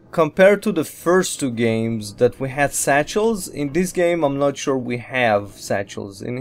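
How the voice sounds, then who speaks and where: A man with an average-pitched voice hosts a casual video game playthrough. He talks in a loose, rambling way, trailing through lists and padding them with repeated filler phrases. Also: darkish tone. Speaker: host of a gaming Let's Play series.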